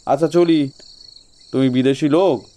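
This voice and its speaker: A man playing a sly local gentleman in an audio story, giving ominous ghost warnings with feigned reluctance. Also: on the high side, unhurried, natural voice. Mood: dismissive, wary.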